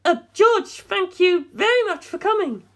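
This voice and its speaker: A narrator puts on a well-bred young woman in an audiobook, her voice lifted very high and swooping. She is anxious and fragile, speaking politely and close to tears. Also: expressive, measured pace, a bit bright, full character voice. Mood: formal, subdued. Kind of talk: audiobook character dialogue.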